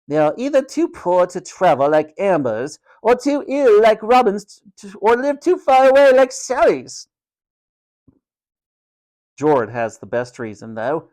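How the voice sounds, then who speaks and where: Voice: mid-range voice, a little mellow, voice pushed up. A storyteller voicing a cruel, sneering hospital matron, a domineering woman who snaps and mocks. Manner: snapping, mocking, sarcastic, domineering.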